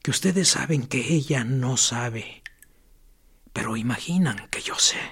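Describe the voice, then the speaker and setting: An actor playing a dead male prisoner in a radio drama, a calm, mocking voice that speaks in a gravelly half-whisper with dry sarcasm. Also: mid-range voice, measured pace, exaggerated voice. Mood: sardonic. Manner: dry, sardonic, probing.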